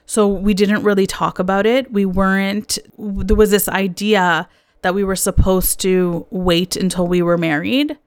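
A woman's voice: mid-range voice, little pitch movement, a little slow, clear, resonant tone, dry recording. A woman from Canada, hosting a podcast and telling her own story in an earnest, candid, confessional monologue. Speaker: podcast host. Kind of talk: personal reflective monologue.

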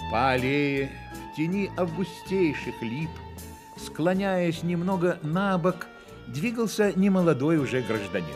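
A man narrating a literary radio play as a deliberate, expressive storyteller. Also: medium pitch. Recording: studio radio play with music.

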